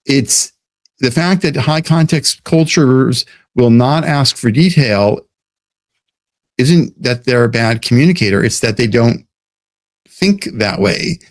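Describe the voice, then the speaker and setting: A man's voice: medium pitch, expressive, slow pace, dry recording. A man from the United States, a guest in an interview, explaining ideas thoughtfully and often restarting his sentences. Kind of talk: casual interview talk.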